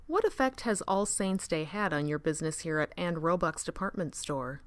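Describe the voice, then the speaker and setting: A woman's voice: fairly low pitch, lively intonation, normal speed, no room sound, clean studio sound, natural voice. A woman playing a probing news reporter in a radio drama's parody interview, asking questions formally. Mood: inquiring.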